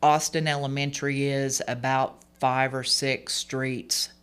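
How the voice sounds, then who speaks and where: A hoarse, slightly gravelly voice from someone in their forties, pitched in a plain middle register. They talk earnestly as a political candidate being interviewed, wandering through fillers and false starts. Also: level tone, a little slow, a bit bright. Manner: rambling, many fillers and restarts, earnest. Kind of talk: radio interview guest.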